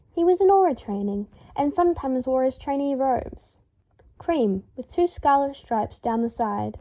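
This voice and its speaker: Female fiction narrator, fairly high register, animated read, slightly muffled home-recorded sound. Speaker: podfic narrator. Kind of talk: expressive scripted fiction narration.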